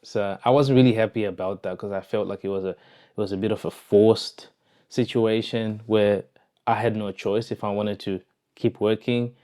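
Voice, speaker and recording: A young Zimbabwean man talks casually to the camera about his own life. His voice is on the low side and stays quite level, with a smooth, resonant sound. He comes across as reflective and conversational. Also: fairly even intonation, normal speed, mellow. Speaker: vlogger, online entrepreneur. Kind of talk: casual personal storytelling to camera.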